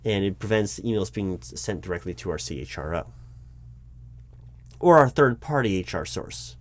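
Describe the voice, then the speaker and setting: An American man playing a flustered corporate recruiting manager in a spoken ASMR roleplay, explaining things in a halting, officious way. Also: low voice, expressive. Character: flustered bureaucratic male corporate recruiting manager addressing his disguised boss. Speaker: performer of a one-sided roleplay. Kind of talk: improvised conversational ASMR roleplay, spoken aloud.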